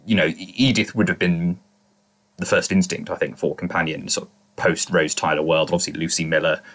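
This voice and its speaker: A man with a low voice discusses things analytically as a podcast panelist. His delivery is conversational and halting, often doubling back on words to find the right phrase. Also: steady tone, a bit bright. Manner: halting, repeats words while searching for phrasing.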